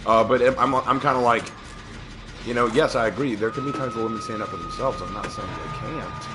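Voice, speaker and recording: A man with a slightly hoarse voice, casually chatting and reacting as he streams a game. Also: mid-range voice, a little fast. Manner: talkative, opinionated, laughs at the game. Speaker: livestreamer. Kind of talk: casual gameplay commentary.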